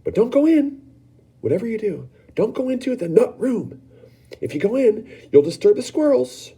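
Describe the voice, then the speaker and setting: A man voicing an excitable, eccentric male chocolate-maker who talks eagerly with bouncy swings in pitch. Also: moderate pace, husky, heavily acted. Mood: excited, explaining.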